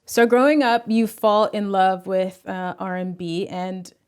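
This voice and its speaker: Casting: female interviewer, 30s, from the US, mid-range pitch, conversational hosting on a close mic. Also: fairly even intonation, measured pace, clear, resonant tone. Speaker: music show host, interviewer. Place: indoor home room, video call. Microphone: close desk microphone.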